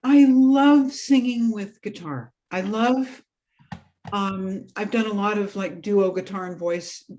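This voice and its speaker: A woman's voice: medium pitch, slow. A woman vocalist with a light voice, chatting enthusiastically as a guest over a video call. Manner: animated, enthusiastic, laughs often. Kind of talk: casual interview chat.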